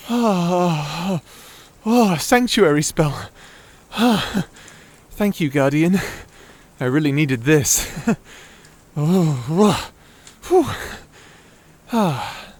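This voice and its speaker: A man's voice: high-pitched, heavily acted. A man playing a shy, nerdy young wizard who rambles anxiously, stammering and apologizing in a fantasy audio roleplay. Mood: relieved, chatty.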